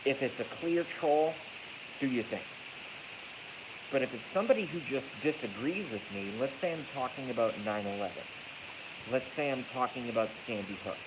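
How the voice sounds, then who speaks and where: A man's voice: mid-range voice, lively intonation, normal speed. Congested man livestreaming over noisy hiss. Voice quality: congested, stuffy nose. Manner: blunt, profane, repeats phrases for emphasis. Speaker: livestream host. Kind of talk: casual rambling livestream monologue. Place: echoey garage at night. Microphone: iPhone, moved closer during the stream. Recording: hissy noisy iPhone recording.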